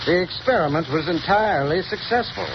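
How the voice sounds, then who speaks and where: An actor playing a scholarly male professor in a 1930s radio drama, explaining in a measured, lecturing manner. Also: high voice, lively intonation. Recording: muffled 1930s radio broadcast with hiss.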